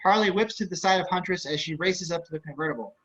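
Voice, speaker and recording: Male stage-directions reader, slightly high voice, scripted narration, lo-fi online call sound. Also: quick pace, fairly bright tone. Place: indoors, on a video call. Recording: dull video-call audio.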